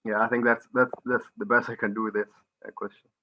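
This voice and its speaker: A man with a Kenyan accent answering as a medical student panelist, halting and searching for words with frequent restarts. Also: mid-range voice, flat delivery, highs cut off.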